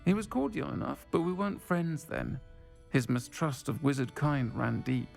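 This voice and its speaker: An actor voicing a friendly, frank witch who runs a village tavern in a video game, with a creaky voice and music underneath. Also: medium pitch, lively intonation, moderate pace. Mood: reflective, candid. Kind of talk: natural video game cutscene dialogue.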